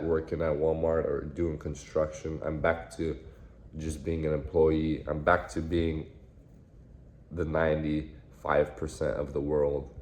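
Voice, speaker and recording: A man in his early twenties, from the United States, talking in a reflective, halting way with many repeated phrases in a casual vlog. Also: rumbling low, darkish tone. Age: 20s. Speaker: vlogger. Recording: echoey room with faint noise.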